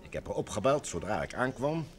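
An actor playing a chatty, enthusiastic film man in a vintage radio play, with an animated, talkative manner. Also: medium pitch, brisk, lightly acted. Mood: eager, chatty.

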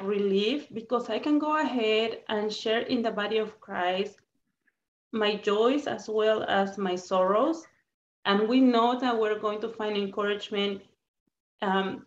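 A woman from Peru with a Spanish accent, talking earnestly as a panelist on a video call and sometimes searching for words. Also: medium pitch. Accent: Peruvian Spanish. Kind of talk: roundtable discussion. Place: home room on video call. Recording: roomy, muffled video call.

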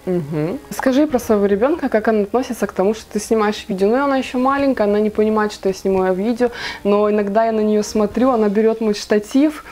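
A woman's voice: medium pitch, fast, dark tone. A young woman from Kazakhstan vlogging from her bedroom, reading viewer questions aloud and answering them in a chatty way. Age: young adult. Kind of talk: casual Q&A vlog. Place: small bedroom indoors.

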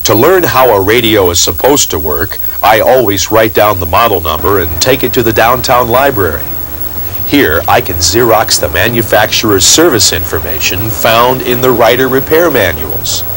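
A man's voice: fairly low pitch, animated, moderate pace, fairly bright tone, slight background noise, poor audio. A man presenting a hobby show in scripted narration, on a dated 1980s TV soundtrack. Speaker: presenter and radio restoration hobbyist.

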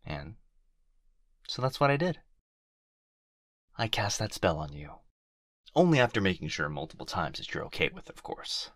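A man playing an obsessive, doting fox-spirit husband in an intimate ASMR roleplay, speaking softly and tenderly, close to the microphone. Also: deep voice, very animated, no echo, full character voice. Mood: adoring, confessional. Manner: soft, hushed, tender, halting delivery. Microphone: close binaural microphone.

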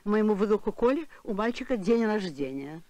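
A woman's voice: medium pitch, lively intonation, normal speed, mellow, no echo, natural voice. A woman voicing a fussy, insistent elderly grandmother in a Soviet cartoon, with an imperious manner. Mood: brisk. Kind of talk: Soviet animated film voice acting.

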